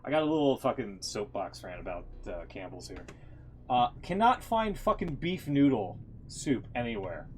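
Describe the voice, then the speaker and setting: A man's voice: mid-range voice, very animated, normal speed. A man chatting casually on a livestream as its host, rambling with playful, profane griping. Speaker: live streamer. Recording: faint background noise.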